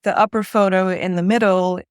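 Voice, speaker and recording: A woman's voice: mid-range voice, fairly even intonation, a little fast, clean tone, no room sound, clean studio sound. A woman in her forties from Spain, giving conversational answers as an interview guest. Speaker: interview guest, director at a design-build firm.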